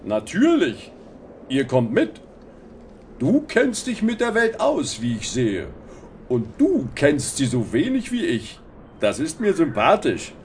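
An actor playing a big, gentle and confident zoo elephant in a children's audiobook, speaking deliberately and matter-of-factly. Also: male voice, a little high, huge pitch swings, slight background noise, exaggerated voice. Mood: confident, friendly.

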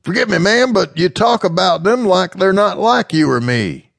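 A man voicing a kindly, plain-spoken rural man with a folksy, gently blunt way of talking. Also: sits high, animated, moderate pace, vocal fry, no echo, voice pushed up, exaggerated voice. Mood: coaxing.

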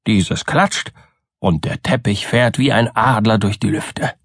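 A man narrating a scripted fairy tale for children in a dramatic, deliberate storytelling manner. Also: fairly low pitch, gravelly, fairly bright tone, no room sound, clean studio sound. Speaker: audiobook narrator.

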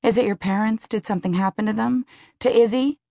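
A woman's voice: medium pitch, fast, gravelly, warm tone, no echo, pitched above the performer's own voice. A woman voicing an anxious young woman who frets over intruders and fusses over her upset friend. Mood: anxious concern. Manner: nervous, solicitous.

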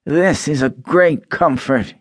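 Tin woodman, man voicing, high and slow, gentle and earnest, dry close sound. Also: no echo. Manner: slow, drawn-out, high pleading. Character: creaky, polite, grateful male tin woodman.

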